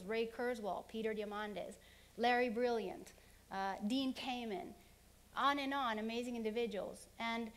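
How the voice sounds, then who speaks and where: A middle-aged woman who grew up in Honduras, giving an earnest conference keynote and running through examples in quick lists. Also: a little high. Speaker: keynote speaker. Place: conference hall.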